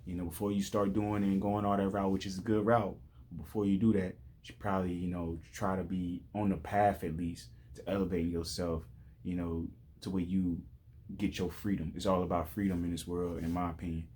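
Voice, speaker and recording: A man's voice: sits low, little pitch movement, a little fast, darkish tone. A man in his late twenties giving life advice in a loose, rambling monologue, with many restarts and "you know what I'm saying". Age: 20s. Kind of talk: casual motivational monologue.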